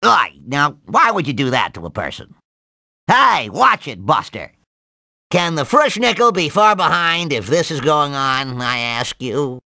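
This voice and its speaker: An absent-minded cartoon mad scientist for a video game. The nasal voice lurches up and down in pitch with a gravelly creak, yet takes its time. He rambles in excitable jargon, breaking into nonsense exclamations. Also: measured pace, no room sound, clean studio sound, heavily acted. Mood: put out, flustered.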